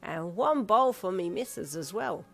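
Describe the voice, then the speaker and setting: A woman's voice: mid-range voice, animated, measured pace, gravelly, soft background music. Lady doing a cheeky old man for a story, wobbly voice, childlike and leery, kinda drawn out. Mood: cheerful. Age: older. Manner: bright, leering, teasing. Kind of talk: character voice in narrated story.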